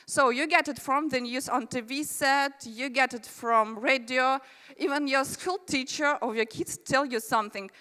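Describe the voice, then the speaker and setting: A woman's voice: sits high, normal speed, pushed and bright, clean tone. A woman from Russia with a Russian accent, giving a chatty conference presentation with friendly asides to the audience. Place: large conference hall. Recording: reverberant hall.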